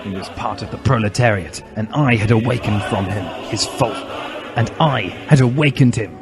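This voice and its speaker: A man's voice: lowish voice, moderate pace. A man reading a story aloud as a mock-serious, bombastic dramatic narrator. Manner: theatrical, bombastic. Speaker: reader of a fan fiction story. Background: music.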